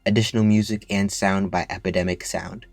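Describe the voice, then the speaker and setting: Guy reading show credits, deep-ish voice, scripted, music behind. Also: little pitch movement, fairly quick, dry recording. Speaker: credits announcer. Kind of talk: scripted narration.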